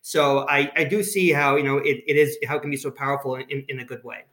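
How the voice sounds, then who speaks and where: A man's voice: mid-range voice, fairly even intonation, fast talker, fairly bright tone, reverberant. A man with a New York accent, talking in a friendly, rambling way with many fillers. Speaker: guest colleague. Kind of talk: casual conversation.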